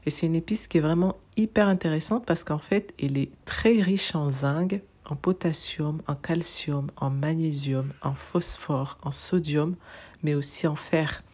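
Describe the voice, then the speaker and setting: A woman's voice: low-pitched, unhurried, a little mellow, slightly rough. A woman from Mayotte narrating informative asides with enthusiasm, listing facts with casual fillers. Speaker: wellness channel host. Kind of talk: informative voice-over.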